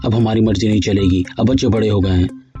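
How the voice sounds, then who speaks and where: A man voicing a scolding middle-aged mother who grumbles and nags her daughter, over soft music. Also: fairly low pitch, steady tone, fairly quick, highs cut off, pitched below the performer's own voice, natural voice. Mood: grumbling. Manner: nagging, exasperated grumbling.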